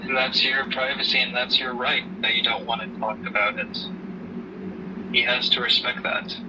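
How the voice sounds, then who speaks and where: Set back from the mic amid clear noise, a man plays a protective father in an amateur audio drama. His medium-pitched voice is earnest and measured, consoling and caring. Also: completely flat delivery, lightly acted. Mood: reassuring. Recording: distant mic, noisy background hum.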